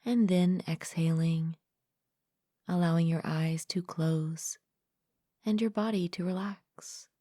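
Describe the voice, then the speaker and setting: A woman leading a guided meditation as a mindfulness coach, speaking gently and calmly in a soft, breathy, partly whispered voice, close to the mic. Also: lowish voice, no echo. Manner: gentle, calm, unhurried with long pauses. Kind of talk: guided meditation, soothing scripted narration.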